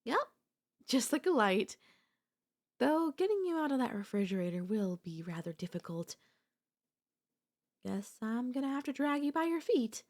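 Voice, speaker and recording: A woman voicing an obsessive yandere sister-in-law in an ASMR audio roleplay, sweetly menacing, coaxing and chatty, calmly unapologetic. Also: fairly high pitch, normal speed, pauses often. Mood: cheerful, smug.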